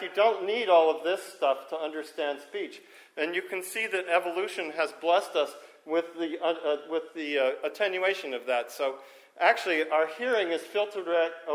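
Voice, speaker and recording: A man's voice: a little high, fairly bright tone, roomy sound, rough recording. A man giving a technical conference talk to a room, informal and self-correcting, with a strong speaking voice, checking in with the audience as he goes. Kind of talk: technical lecture on hearing and audio. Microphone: handheld or stand microphone.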